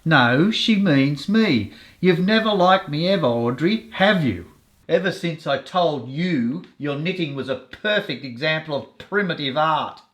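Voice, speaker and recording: Slow, lilting, dim-witted older sweetheart played by a man. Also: on the high side, animated, fairly bright tone. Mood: aggrieved. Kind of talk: comic radio soap acting.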